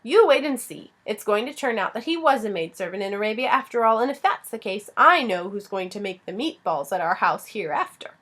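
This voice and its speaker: A woman's voice: medium pitch, a little fast, few pauses. A woman voicing a bold, playful, boisterous young girl, exclaiming and teasing as she reads a children's story. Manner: exclaiming, teasing, shrieking when excited.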